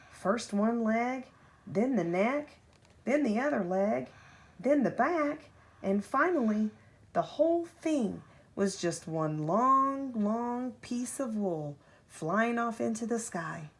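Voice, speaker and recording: Woman reading a bedtime story, slow and animated. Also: a little high. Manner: deliberate, dramatic phrasing with drawn-out key words. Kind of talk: expressive children's picture-book read-aloud. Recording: home recording with faint background noise.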